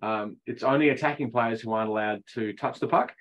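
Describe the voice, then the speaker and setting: A man's voice: mid-range voice, fairly quick, fairly bright tone. A middle-aged man coaching ice hockey, explaining the rules in a chatty online session and laughing at his own jokes. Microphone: video call. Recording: dull lo-fi video call audio.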